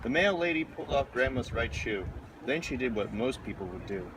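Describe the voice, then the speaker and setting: A man's voice: medium pitch, lively intonation, a little fast. A man reading a children's picture book aloud, recorded outdoors. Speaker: storybook reader. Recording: noisy outdoor recording with traffic.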